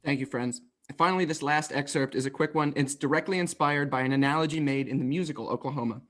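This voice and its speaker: Male presenter, slightly high voice, formally introducing and explaining a dramatic reading. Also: fast, a bit bright. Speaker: presenter introducing play excerpts.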